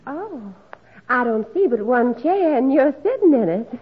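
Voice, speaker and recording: A woman's voice: sits high, big pitch swings, a little slow. An actress playing a polite, coy young woman in a 1940s radio comedy, speaking in a demure, well-spoken way. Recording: muffled noisy old radio, studio audience.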